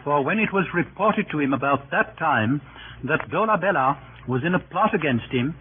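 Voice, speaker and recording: High-voiced man narrating vintage radio drama. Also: lively intonation, moderate pace. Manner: formal, chronicle-like delivery. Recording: tinny, hissy old radio recording.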